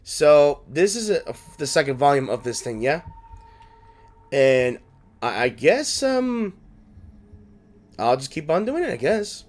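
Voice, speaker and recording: Very animated male gaming commentator. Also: a little high, measured pace, long pauses. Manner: rambling, thinking aloud. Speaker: gaming video host. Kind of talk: casual game commentary, reading lines aloud. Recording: game music behind the voice.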